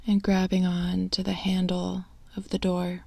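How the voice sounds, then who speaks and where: A woman leading a guided meditation in a hushed, breathy, unhurried delivery, leaving long pauses between soothing phrases. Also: on the low side, monotone, no room sound.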